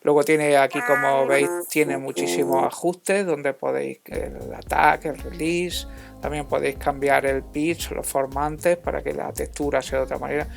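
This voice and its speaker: A man narrating an informal music software tutorial as an instructor, in a halting, hesitant way. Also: fairly high pitch, fairly even intonation, creaky.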